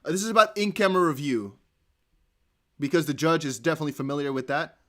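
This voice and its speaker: Male streamer with a Dutch accent, slightly high voice, excitable live commentary, laughs often. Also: quick pace. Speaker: crypto news streamer. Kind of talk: live commentary on a court hearing.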